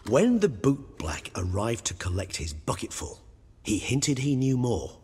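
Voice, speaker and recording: An actor playing an erudite, pensive gentleman scholar in a video game, speaking in formal, wordy musings. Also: mid-range voice, big pitch swings, unhurried, husky, long reverb tail, heavily acted. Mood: measured, pleased. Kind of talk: video game dialogue acting.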